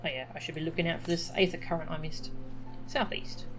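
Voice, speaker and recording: A woman's voice: sits low, quick pace, music in the background. A woman casually commenting as she streams a game, with wry asides.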